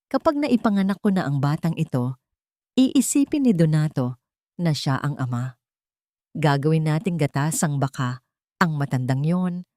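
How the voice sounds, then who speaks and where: A woman's voice: sits low, warm tone, no echo, studio quality. Woman reading as a scheming, mocking mistress. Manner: mocking, conniving, flirtatious. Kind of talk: audiobook character dialogue.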